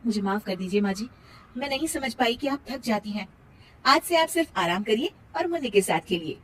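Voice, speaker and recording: A woman's voice: a little high, expressive, brisk, fairly bright tone, a little hiss or hum. A woman voicing an overworked young working daughter-in-law in a family cartoon, in a dramatic, exasperated manner. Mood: gentle, sincere.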